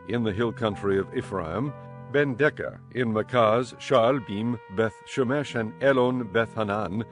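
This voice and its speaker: A man narrating an audio Bible in a measured, formal storytelling style. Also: on the low side.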